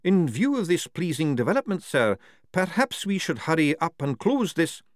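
A man voicing an eager, hasty male army general with a brisk, pressing tone. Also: a little high, big pitch swings, dry recording, clean studio sound, pitched above the performer's own voice.

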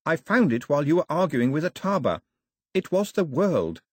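Guy doing a proper young girl from a storybook, high voice, earnest and bossy, really lively. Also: normal speed, husky, dry recording, studio quality, exaggerated voice. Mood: urgent, bossy.

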